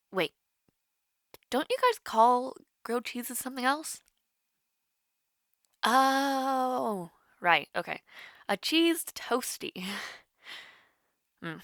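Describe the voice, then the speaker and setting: A woman's voice: medium pitch, no room sound, clean studio sound, full character voice. A young woman playing a shy, caring college student with a crush in an intimate audio roleplay, hesitant and easily flustered. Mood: casual, gentle. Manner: hesitant, flustered, soft-hearted, giggly. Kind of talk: intimate romantic audio roleplay, one-sided call.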